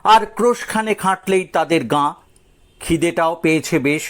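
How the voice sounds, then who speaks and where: A man narrating a scripted audio story dramatically, with theatrical, suspenseful pauses. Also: fairly high pitch.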